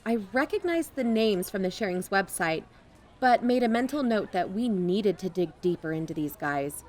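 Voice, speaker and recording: An actress voices a watchful female investigator with a medium-pitched voice. She narrates her covert work in a measured, wry, observant first-person style for an audio drama. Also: lively intonation, darkish tone, lightly acted.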